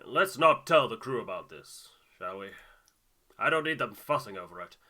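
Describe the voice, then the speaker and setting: A man's voice: medium pitch, expressive, normal speed, sharp tone. A man voicing a hearty, stubborn, middle-aged navigator in a game, blustering and emphatic. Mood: resigned, grateful.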